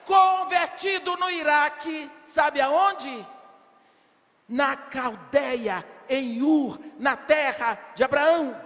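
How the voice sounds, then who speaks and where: A woman's voice: sits high, extremely slow. Older woman preaching in a reverberant hall. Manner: emphatic, rhetorical questions, bursts of shouting. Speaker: preacher at women's congress. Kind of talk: sermon. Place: large church hall. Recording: long reverb of a big hall.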